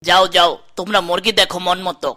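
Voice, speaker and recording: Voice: mid-range voice, steady tone, brisk, lightly acted. A performer voicing a friendly, bumbling farmer uncle in a children's cartoon, rustic and colloquial, with a Bangladeshi accent. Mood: welcoming. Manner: rustic, colloquial, good-natured.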